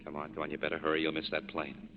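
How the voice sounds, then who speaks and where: A resolute, world-weary tough man speaks in a low voice. It is 1940s radio drama, heard through clear noise on an old broadcast recording. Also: monotone, rapid-fire, phone-quality sound. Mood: curt, threatening.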